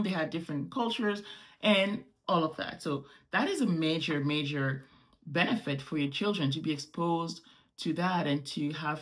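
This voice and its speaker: A woman in her thirties from Morocco, with French as her first language, talking casually to camera at home as a vlogger and gesturing as she explains. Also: low voice. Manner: animated, gestures with hands while explaining. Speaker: travel vlogger. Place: small home room indoors.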